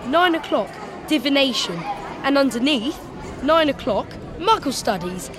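A man's voice: very high voice, expressive, unhurried, crowd noise, pitched above the performer's own voice. A man voicing an anxious, incredulous teenage schoolboy in an exasperated tone.

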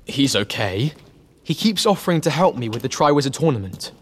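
A man's voice: mid-range voice, sing-song, a little fast, slight background noise, natural voice. A man playing an earnest, unassuming teenage wizard in an audiobook drama, speaking plainly and a little hesitantly. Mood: matter-of-fact. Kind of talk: full-cast audiobook drama.

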